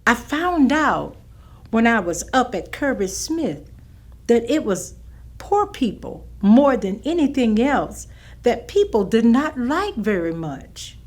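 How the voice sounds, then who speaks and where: An actress playing a firm, caring older schoolteacher who tells her recollections in an emphatic, measured way in a staged reading. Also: a little high, very animated, heavily acted. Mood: thoughtful, sympathetic. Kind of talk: staged reading of oral history testimony.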